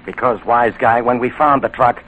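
An American man playing a gruff, blustery, easily exasperated police inspector in a 1940s-style radio detective drama. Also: medium pitch, normal speed, a bit bright, close to an everyday voice. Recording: noisy old radio broadcast recording.

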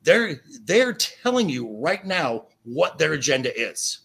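Raspy, forceful man arguing his point. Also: on the high side, big pitch swings. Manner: insistent, halting, repeats himself. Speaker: podcast guest. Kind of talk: heated opinionated film and TV discussion.

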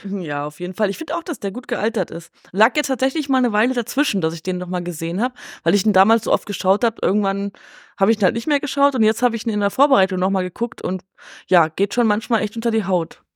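Woman guest on a film podcast, casual slangy talk, middle pitch, dry close recording. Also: expressive, fast talker, no room sound. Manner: colloquial, mixes in English slang.

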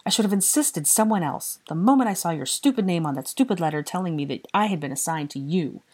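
A woman voicing a bright, bossy young woman in love, emotional, indignant and exasperated, in dramatic audiobook dialogue. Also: lowish voice, animated, quick pace, breathy edge.

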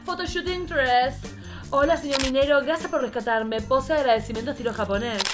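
A man's voice: very high voice, a little fast, music in the background. A man playing a haughty, glamorous drag siren diva in a campy radio play, theatrical and bossy. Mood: bossy, vain.

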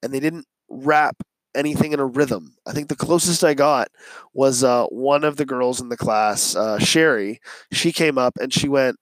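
A man's voice: medium pitch, moderate pace, no room sound, rough recording. A male teacher casually recounting a classroom story, rambling with many fillers and restarts and quoting himself along the way.

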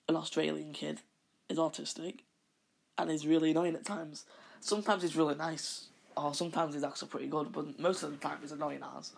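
A man hosting a casual podcast who rambles through his monologue. His voice is croaky, and he keeps correcting himself. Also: a little high, a little hiss or hum. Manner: rambling, with frequent self-corrections. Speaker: podcast host.

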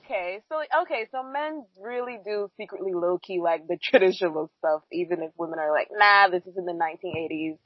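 A woman hosts a relaxed podcast chat, asking questions and throwing in teasing, laughing asides. Her voice sounds close and dry on a dull, lo-fi recording. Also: on the low side, brisk, hardly any pauses, husky, no echo. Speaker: podcast co-host.

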